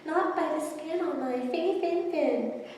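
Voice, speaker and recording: A woman's voice: high voice, unhurried, darkish tone, pitched above the performer's own voice. Woman playing a young storybook fish, plucky, sing-song, emphatic, distant in an echoey hall. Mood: defiant. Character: little fish siblings, young, plucky, defiant. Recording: echoey hall, distant mic, background noise.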